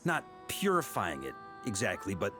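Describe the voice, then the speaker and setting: An actor playing a confident, thoughtful, easygoing knight commander in a video game, explaining things with a wry manner. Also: male voice, on the low side, huge pitch swings, normal speed, over music. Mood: calm, reasoning.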